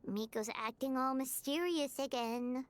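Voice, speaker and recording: A woman voicing a tiny, chirpy, nosy fairy companion in an anime game, with a squeaky childlike voice and cutesy, emphatic delivery. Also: measured pace, clear, resonant tone. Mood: puzzled. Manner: refers to self in third person, cutesy and emphatic.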